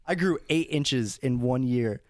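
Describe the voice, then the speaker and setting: Young guy podcaster, blunt and crude, medium pitch, dry mic. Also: a little mellow, dry recording. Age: young adult. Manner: blunt, crude, quick jokes. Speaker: podcast co-host. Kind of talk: casual chat.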